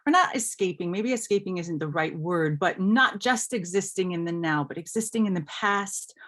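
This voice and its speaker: A woman's voice: lowish voice, expressive, moderate pace, a bit bright. A woman from Hawaii speaking as a scholar on a panel, explaining things in a reflective, thoughtful way.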